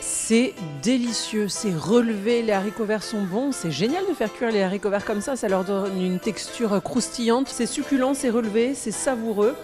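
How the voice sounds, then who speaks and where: An upbeat woman presents a television food program and praises the dishes warmly. Her voice sits a little high. Soft music plays faintly underneath. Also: normal speed, few pauses. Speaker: TV show host.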